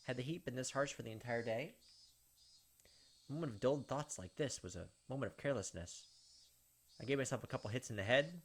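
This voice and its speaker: A man's voice: mid-range voice, a bit bright, slightly rough. A man hosting a playthrough, reading a visual novel aloud as emphatic dramatic narration with casual asides. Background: game music.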